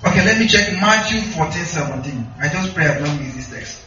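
Nigerian preacher in an echoey hall. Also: male voice, fairly high pitch, animated, brisk, pushed and bright, slight background noise. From northern Nigeria. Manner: asks the congregation questions often. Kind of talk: sermon with call and response. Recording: echoey, distant, slightly muffled hall recording.

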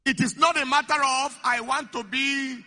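A man preaching a sermon, stressing key phrases and repeating them slowly. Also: very high voice, fairly even intonation, sharp tone. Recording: echoey hall.

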